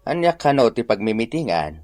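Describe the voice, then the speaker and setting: Casting: male actor as a folksy older Ilocano countryman, mid-pitched, animated intonation, conversational radio drama delivery. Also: fairly quick, no room sound. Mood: agreeable. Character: agreeable older village man.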